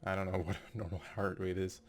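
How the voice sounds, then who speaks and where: A man's voice: sits low, flat delivery, fast. A man doing casual video game commentary, reading on-screen text aloud and musing tentatively as he goes. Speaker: gameplay video narrator. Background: game music.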